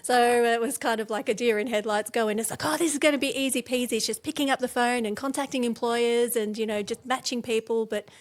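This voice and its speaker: A woman's voice: a little high, fairly even intonation, a little fast, few pauses. A woman in her forties, a guest on an interview podcast, telling her story in a chatty way with many fillers. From born in England, raised in Australia. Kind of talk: casual interview chat.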